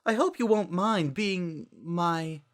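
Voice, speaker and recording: A man's voice: sits high, takes it slowly, pitched above the performer's own voice. A man voicing a refined, kindly and dramatic teenage fashionista girl, speaking in a genteel, theatrical way. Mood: gracious.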